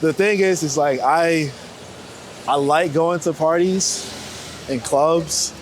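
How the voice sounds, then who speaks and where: A man's voice: fairly high pitch, slow, low-quality recording. A man in his late twenties talking casually and reflectively in a rambling, slangy way, like an interviewee out on a walk. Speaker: street photographer being interviewed. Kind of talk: casual walking chat. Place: outdoors on a New York City street. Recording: outdoor city street noise. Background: faint traffic and urban ambience.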